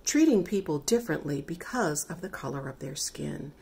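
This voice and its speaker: A woman voicing a calm, serious father patiently explaining things to his child in a storybook. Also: low-pitched, normal speed, vocal fry, slight background noise.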